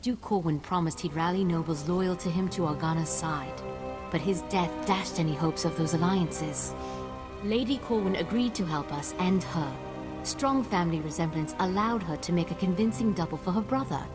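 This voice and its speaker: An actress playing a composed noblewoman diplomat in a video game, speaking formally and earnestly in measured phrases. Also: on the low side, music in the background, close to an everyday voice. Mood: formal, resigned. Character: composed, diplomatic noblewoman official negotiating calmly.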